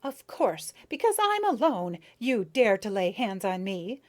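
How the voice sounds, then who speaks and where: A woman voicing a small, indignant male ant with a clear, piping voice, sharp and vicious in tone. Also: medium pitch, very animated, a little slow. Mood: shrill defiance.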